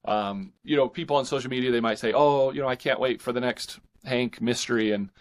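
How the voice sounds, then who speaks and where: A man speaking as an author guest in a casual interview, with halting, thoughtful phrasing. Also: medium pitch.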